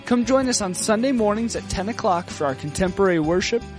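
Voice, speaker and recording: High-voiced man announcing over music. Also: lively intonation. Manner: measured, promotional. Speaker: podcast announcer. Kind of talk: scripted promo intro.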